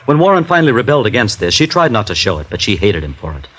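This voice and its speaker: Radio drama rich son: young, proud, earnest, formal diction, lowish voice. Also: male voice, very animated, very fast, fairly bright tone, poor audio. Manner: formal, stiffly articulate. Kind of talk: old-time radio drama acting.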